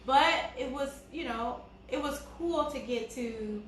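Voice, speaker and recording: Calm-voiced woman in an echoey room. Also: sits high, takes it slowly. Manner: calm, soft-spoken, laughs easily. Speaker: co-host. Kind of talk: casual couple chat. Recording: very echoey room with faint background noise.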